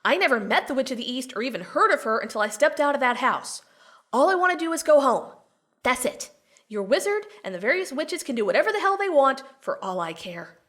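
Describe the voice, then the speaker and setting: A woman's voice: sits high, very animated, brisk, a bit bright. An actress playing a feisty, exasperated young farm girl in an audio drama, blunt, emphatic and sarcastic. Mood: pleading, indignant.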